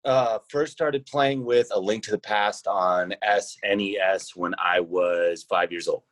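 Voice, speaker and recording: A man in his thirties speaks in a fairly low voice. He is a podcast guest talking casually, and the sound is dry with no room echo. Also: measured pace, fairly bright tone. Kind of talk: casual chat.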